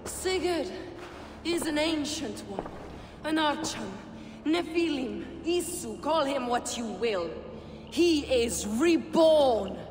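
An actress voicing a zealous female villain in a video game, speaking in a measured, cryptic, taunting way. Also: sits high, expressive, music in the background. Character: cold zealous female villain, order leader. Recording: game audio with loud score.

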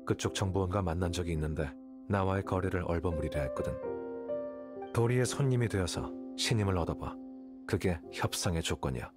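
An actor playing an aloof, calculating young scholar in a video game, speaking in a detached, measured, condescending way. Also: male voice, low voice, natural voice. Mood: cool, bargaining. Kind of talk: video game character dialogue. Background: game music.

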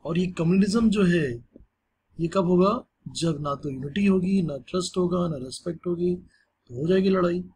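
A man's voice: high voice, moderate pace, darkish tone, slight background noise. Chatty male teacher, Hindi-English mix. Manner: conversational, mixes Hindi with English phrases. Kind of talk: explaining a class lesson.

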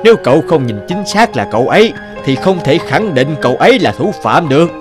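An actor playing a kind, patient, firm elderly male teacher in an animated drama. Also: a little high, brisk, music in the background. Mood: calm, firm.

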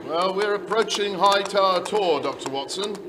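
A man's voice: high-pitched, normal speed, background noise, natural voice. A middle-aged man playing a worried, polite aristocratic landowner, telling his tale hesitantly in old-time radio style. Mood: grave. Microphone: shared stage microphone.

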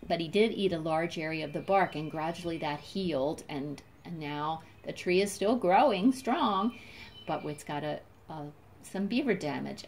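A woman guiding young children through a nature storytime with simple explanations, expressive teacherly emphasis and questions put to her listeners. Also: fairly low pitch, a little hiss or hum.

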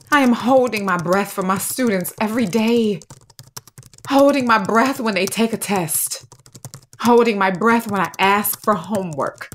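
A woman playing a dedicated, weary third-grade teacher in an audio drama, earnest and deliberate, leaning on repetition. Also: mid-range voice, a little hiss or hum, natural voice. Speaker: playwright and actor.

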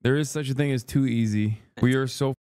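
A man's voice: medium pitch, steady tone, quick pace, darkish tone, clean tone. A man in his thirties, from Turkey, chatting casually and profanely on a livestream while eating, close to a studio microphone in a small room. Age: 30s. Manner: brash, profane, joking, talks with food in mouth. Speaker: streamer. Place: small wood-paneled room, indoors. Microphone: studio mic on boom arm close to face.

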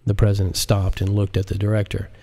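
A man's voice: lowish voice, completely flat delivery, fast, gravelly, dark tone. A man narrating his own audiobook on a live stream, with casual asides.

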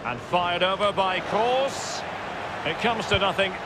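A man calls a football match play by play in a high, bright voice. He names players quickly as the ball moves, with a roaring stadium crowd loud behind him in the game audio. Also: heavy background noise. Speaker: play-by-play commentator.

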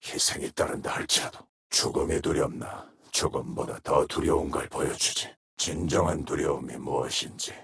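A man voicing a menacing, brooding shadow wraith assassin in a video game, with a hoarse, whispery rasp and slow, ominous, terse delivery. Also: very deep voice, fairly even intonation, a bit bright, heavily acted. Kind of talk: video game character voice lines.

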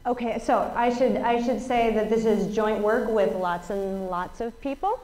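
An energetic woman with a fairly high voice gives a conference talk in a large open event space. She has no working mic, so a far camera picks her up from across the room, and she keeps asking the audience questions. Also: unhurried, a little hiss or hum. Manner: energetic, lots of fillers, asks the audience questions. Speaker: guest speaker, statistician. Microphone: no working mic, room pickup from a far camera.

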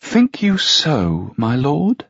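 A man's voice: mid-range voice, wildly swooping pitch, gravelly, a bit bright, husky, dry recording, muffled recording, voice pushed up, heavily acted. A man voicing a cool, poised princess. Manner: cool, measured, archaic speech.

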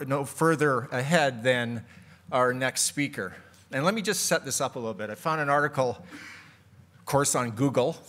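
A man's voice: mid-range voice, fairly bright tone. A man moderating a conference, introducing the next speaker from notes and chatting with the audience. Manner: reads from notes, then jokes with the audience. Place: conference hall.

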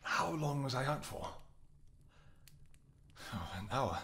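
A man voicing a protective royal vampire husband in a scripted audio roleplay, soft-spoken and affectionate, with hesitant pauses. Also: mid-range voice, very animated, vocal fry, heavily acted. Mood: tender.

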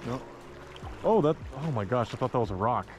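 A man chatting casually and excitedly in slang while fishing, recorded outdoors from a raft. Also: mid-range voice, brisk, over music. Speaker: angler.

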